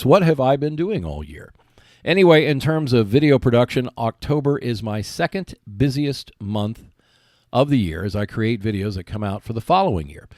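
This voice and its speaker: A man's voice: medium pitch, darkish tone, dry recording, clean studio sound. A man hosting a solo podcast, delivering prepared announcements and commentary. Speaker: podcast host.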